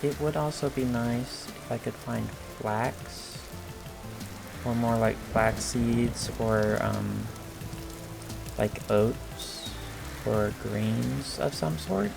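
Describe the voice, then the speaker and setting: A man with a hoarse, gravelly voice talks slowly and unhurriedly, thinking aloud as he plays. He hesitates often and fills gaps with ums. Game music plays clearly underneath him. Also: medium pitch. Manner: slow, hesitant thinking aloud with many fillers. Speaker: gameplay video creator. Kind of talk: casual commentary over gameplay. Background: game music and rain sounds.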